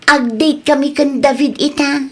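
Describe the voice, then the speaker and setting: A woman's voice: high-pitched, fairly even intonation, normal speed, natural voice. A woman playing a disrespectful, impatient grown daughter in a radio drama, whiny and snappy, with an Ilocano accent.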